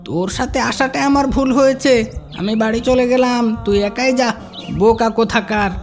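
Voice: medium pitch, steady tone, moderate pace, close to an everyday voice. A performer voicing a sly, greedy, rude young boy in a children's moral cartoon, scornful and wheedling, over faint music. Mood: scornful, angry.

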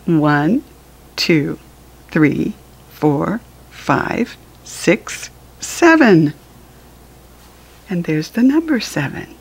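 An American woman reads aloud for young children at a very slow, deliberate pace. Her voice is fairly low and husky, with an encouraging, sing-song delivery that teaches as it goes. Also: very animated. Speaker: children's book reader and teacher. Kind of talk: read-aloud counting lesson for young children.

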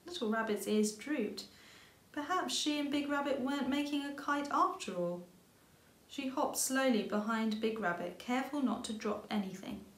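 Female storybook reader, thirties, higher register, expressive delivery, roomy home sound. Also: moderate pace. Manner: expressive reading with character lines. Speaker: author reading aloud. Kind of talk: storybook narration for children. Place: indoor room with bookshelves.

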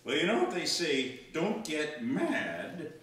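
A man's voice: a little high, sing-song, takes it slowly, fairly bright tone, recorded from a distance, full character voice. An older man playing a stern, wry army commander with a curt, exasperated delivery in a live radio-style comedy skit. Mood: wry, defensive. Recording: very echoey room, off the mic.

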